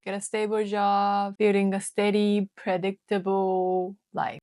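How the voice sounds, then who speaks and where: A woman in her twenties from Japan, speaking English with a Japanese accent in a calm, reflective voice-over for her vlog. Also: mid-range voice, dry recording, studio quality. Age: 20s. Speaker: vlogger. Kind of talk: scripted voice-over narration.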